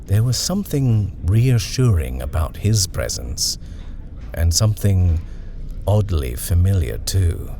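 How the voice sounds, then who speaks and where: Male narrator for a horror audiobook, measured and expressive, unhurried. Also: sits low, creaky, a little hiss or hum. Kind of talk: audiobook horror story reading.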